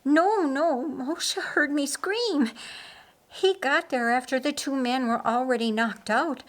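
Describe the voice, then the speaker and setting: A woman voicing a shaken, earnest young woman in an audiobook story, halting and insistent. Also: a little high, pitched above the performer's own voice. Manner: halting, repeating words, insistent. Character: shaken, earnest young woman in her twenties, biblical times.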